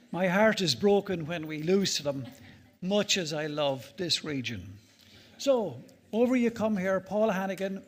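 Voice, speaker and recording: An Irish man moderating a conference panel on stage, jovial and teasing, often repeating words as he thinks. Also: high-pitched.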